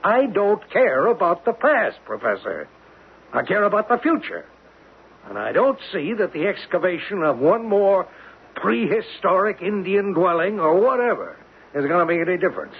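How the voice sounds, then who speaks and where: An actor playing a hard-nosed, impatient oil company boss in a 1970s radio drama, blunt and insistent. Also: male voice, high-pitched, sing-song, normal speed, slightly rough, heavily acted. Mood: defiant. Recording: tinny, noisy old radio broadcast.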